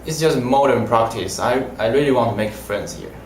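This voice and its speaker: A young man whose first language is Mandarin, answering interview questions in halting, simple phrasing. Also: medium pitch, a little mellow, slight background noise. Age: young adult. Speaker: language student. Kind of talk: casual interview. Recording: roomy echo.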